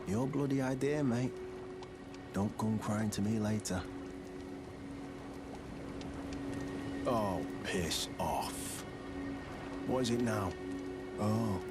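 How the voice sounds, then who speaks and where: An actor playing a surly, shifty thug in a video game, blunt and slangy, with a working-class English accent. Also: male voice, lowish voice. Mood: irritated, surly. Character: gruff, shifty male thug selling boiled prawns. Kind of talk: video game character acting. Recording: loud music behind the voice.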